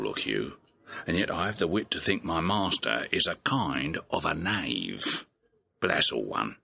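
Gravelly, drawling clownish servant. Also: male voice, rumbling low, sharp tone, lo-fi sound, full character voice. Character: clownish male servant, cheeky, rambling, simple. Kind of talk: classical stage comedy acting in an audio play.

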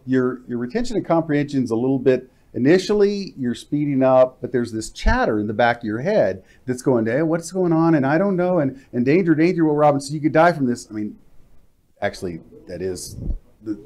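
A man in his fifties hosting a casual studio interview, talking informally with halting phrasing. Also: medium pitch, animated, a little mellow. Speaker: interviewer, co-presenter. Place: TV-style studio at a glass desk. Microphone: lapel mic.

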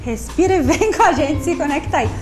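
A woman's voice: high voice, animated, a little fast, over music. A Brazilian woman in her thirties hosting a live TV chat show from a studio, bubbly and playful, laughing often, on a lapel mic. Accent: Brazilian Portuguese. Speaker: TV talk show host. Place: bright TV studio set.

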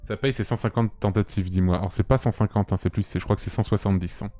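A man's voice: fairly low pitch, steady tone, fast, mellow. A young man from France, chatting casually and thinking aloud on a game livestream, close on the mic. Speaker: live streamer. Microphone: close boom mic with headset. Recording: music playing under the voice.

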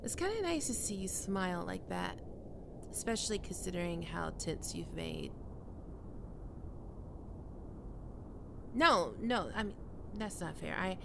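Woman voicing a snarky, flustered catgirl with lively pitch. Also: moderate pace, vocal fry, noisy background. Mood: softly sincere, awkward. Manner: hesitant, stammering, teasing, tsundere bluster. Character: flustered, defensive young half-vampire catgirl roommate with a snarky streak. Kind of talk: ASMR roleplay.

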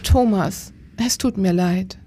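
An actress playing a concerned, inquisitive police detective in a radio crime drama, earnest and questioning, with a husky voice. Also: female voice, mid-range voice, takes it slowly, noisy background, close to an everyday voice.

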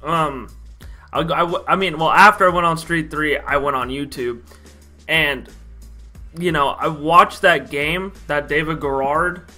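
A young American man with a fairly high, bright voice tells a casual story to camera from a small bedroom. He speaks like an animated fan, slangy and emphatic. There is faint music in the recording. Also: measured pace. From Idaho, United States. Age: young adult. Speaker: YouTube vlogger.

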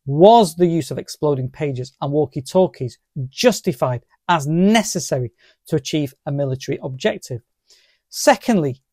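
A man in his fifties presenting a scripted legal explainer in a formal, deliberate manner, stressing key terms. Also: sits high, dark tone, no room sound, clean studio sound.

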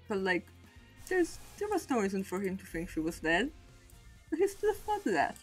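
A young woman from Poland with a Polish accent, speaking English as a second language and chatting casually while streaming a game, rambling and self-mocking. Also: mid-range voice. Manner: rambling, self-mocking, laughs often between thoughts. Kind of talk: casual chat over gameplay.

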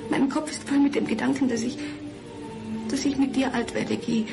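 An actress playing a frightened, resigned mother in a German radio crime drama, speaking in a subdued, often breathy way. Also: high-pitched, steady tone, normal speed. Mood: despairing. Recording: studio radio drama with music.

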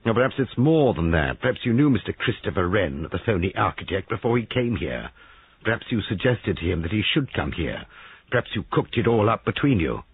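A man's voice: fairly low pitch, big pitch swings, brisk, slightly rough, pitched above the performer's own voice, exaggerated voice. A man voicing a jealous, angry husband in an audiobook story, curt, domineering and accusing. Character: Giles, jealous angry husband, gruff and commanding. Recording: narrow-band lo-fi radio-like sound.

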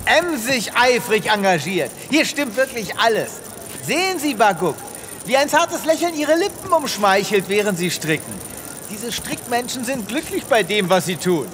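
A man's voice: sits high, big pitch swings, moderate pace, background noise. A man playing a law-abiding, naively upbeat space veterinarian with a fussy, theatrical manner in a comedy audio drama. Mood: blithe. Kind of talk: German comedy science fiction audio drama.

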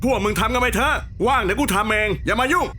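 A man plays a crude, grumbling monk in an animated ghost story. The voice is high and sharp, irritable and foul-mouthed, with faint music behind it. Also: fast talker. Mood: dismissive, annoyed. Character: foul-mouthed, grumbling, lecherous young male monk in horror cartoon.

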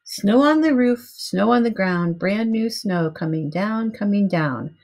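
A middle-aged woman reading a picture book aloud to young children in simple, measured storybook phrasing. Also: mid-range voice, lively intonation, darkish tone. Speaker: preschool teacher reading aloud. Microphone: webcam at arm's length.

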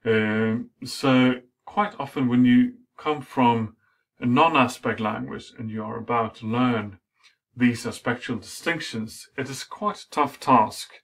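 A middle-aged man, a native Swedish speaker, giving an academic lecture in a measured way with frequent fillers. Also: mid-range voice, gravelly.

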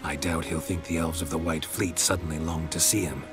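A very deep-voiced, gravelly monster hunter, stoic and sardonic. He speaks in clipped, deadpan game dialogue over clear background music. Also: a little fast. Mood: dry, probing. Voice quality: low, gravelly.

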